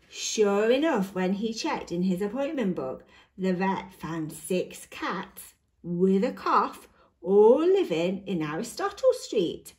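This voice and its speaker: A woman reads a children's storybook aloud in the manner of a primary school teacher. Her pitch is middling but swings widely for expression. She takes her time, stressing key words and leaving dramatic pauses. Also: darkish tone. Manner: deliberate, emphatic phrasing with dramatic pauses.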